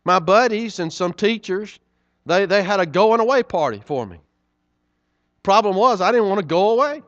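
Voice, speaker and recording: Preacher guy telling stories, folksy, keeps saying right?, highish voice, dry slightly muffled sound. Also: expressive, moderate pace, no echo. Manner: folksy, conversational, frequent "right?" asides. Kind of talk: sermon storytelling.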